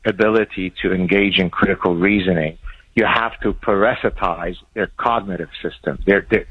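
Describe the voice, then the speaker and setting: Lebanese-born man in his sixties, low husky voice. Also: no room sound, muffled recording. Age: 60s. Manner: articulate, lecturing explanations. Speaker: guest author, professor. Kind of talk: radio interview.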